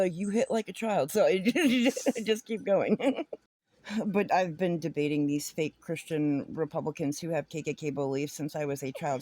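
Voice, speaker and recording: A woman's voice: lowish voice, dark tone, dry recording. A woman talking casually and bluntly in an informal, rambling way, sharing her opinions. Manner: blunt, informal, giggles often between phrases. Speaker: vlogger giving opinions.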